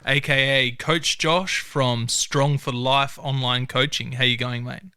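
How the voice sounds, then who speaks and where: A man's voice: mid-range voice, fairly even intonation, unhurried, pushed and bright, clear, resonant tone, no echo, clean studio sound. A man with an Australian accent hosting a podcast interview, upbeat, friendly and enthusiastic. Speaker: podcast host. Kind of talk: casual interview chat.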